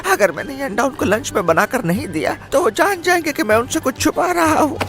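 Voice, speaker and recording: Nervous high-voiced cartoon porter. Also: expressive, a little fast, vocal fry. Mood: anxious, fretting. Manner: hesitant, fretting, stammering fillers. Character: nervous, cowardly, servile male porter. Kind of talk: Hindi cartoon dub acting. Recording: voice over loud music.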